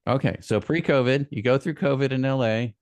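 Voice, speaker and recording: A man's voice: medium pitch, brisk, a little mellow, no room sound. A man hosting a podcast interview, asking questions in a conversational, encouraging way. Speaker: podcast host.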